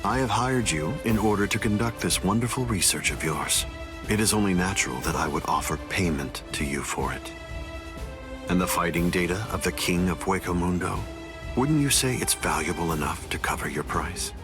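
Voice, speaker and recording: An actor playing a calm, commanding overlord in an anime game, formal, composed and smoothly condescending. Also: male voice, deep voice. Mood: calm authority. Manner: composed, formal, unhurried authority. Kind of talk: anime video game voice acting. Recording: music behind. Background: background music.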